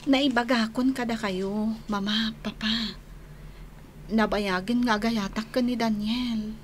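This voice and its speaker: A sincere young student heroine in a radio drama. Her voice sits fairly high and carries an Ilocano Filipino accent. She speaks sweetly and frankly, over some background noise. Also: fairly even intonation, slow pace. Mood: earnest.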